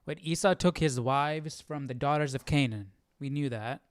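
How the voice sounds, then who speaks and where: A man's voice: medium pitch, normal speed, no echo, studio quality. A man hosting a livestream Bible study, commenting informally and thinking aloud as he follows along. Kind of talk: casual live commentary on a reading.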